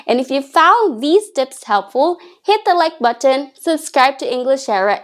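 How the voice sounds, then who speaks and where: A woman teaching English in a video lesson, speaking in a clear, encouraging, instructive way. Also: fairly high pitch.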